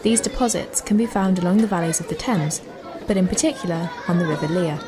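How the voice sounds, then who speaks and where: A woman's voice: on the low side, fast talker, a crowd in the background, background noise. A woman reading scripted, educational narration as the guide of an audio walk.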